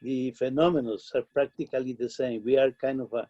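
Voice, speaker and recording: A man from Costa Rica, speaking in a formal, measured way on a video call. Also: mid-range voice, steady tone, mellow, no echo. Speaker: call participant. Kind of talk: casual video call chat. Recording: dull lo-fi video call audio.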